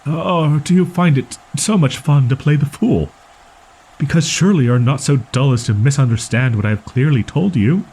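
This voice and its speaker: A man's voice: medium pitch, moderate pace, warm tone, breathy edge, low-quality recording. A man voicing a proud, easily flustered young wolf-boy in a roleplay audio drama, with stilted archaic diction and defensive stammering. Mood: exasperated, flustered. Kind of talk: roleplay audio drama monologue addressed to listener.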